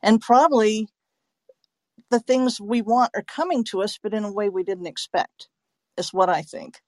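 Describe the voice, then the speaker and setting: A woman's voice: mid-range voice, moderate pace, darkish tone, no echo. Woman hosting, dry close sound. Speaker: host. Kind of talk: hosting a live audio chat show.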